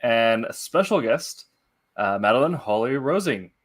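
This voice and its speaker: A man hosting a casual interview show, speaking in a halting, hesitant way. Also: medium pitch, lively intonation. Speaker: show host.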